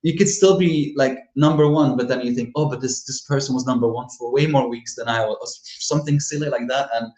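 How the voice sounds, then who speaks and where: A man's voice: mid-range voice, brisk, hardly any pauses, a little mellow, roomy sound, rough recording. A man from Flanders with a Flemish accent, a Dutch native speaker talking in English as a guest author, candid and reflective, often laughing. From Belgium (Flanders). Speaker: horror author, podcast guest. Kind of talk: casual interview chat.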